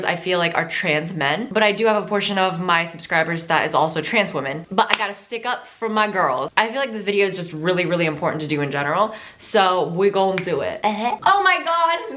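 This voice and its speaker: A woman's voice: fairly low pitch, bright, forceful tone. Chatty, profane young woman vlogger. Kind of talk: casual chat.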